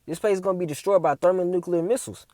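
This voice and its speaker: A man with a slightly raised but dark, mellow voice talks through scripture like a street preacher. He keeps checking in with "all right?" and "man" between points. The sound is dry and close. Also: sits high, very fast, no echo. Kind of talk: casual religious commentary video.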